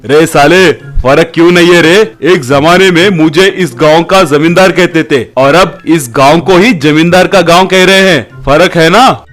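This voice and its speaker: A man's voice: a little high, measured pace, a bit bright, soft background music. A man voicing a greedy, boastful, scheming village landlord in a comic storybook tale.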